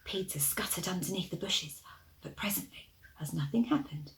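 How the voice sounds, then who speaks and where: A woman storyteller reading a storybook expressively to young children, with hushed asides and gentle questions to the listeners. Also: lowish voice, expressive, normal speed, rough recording.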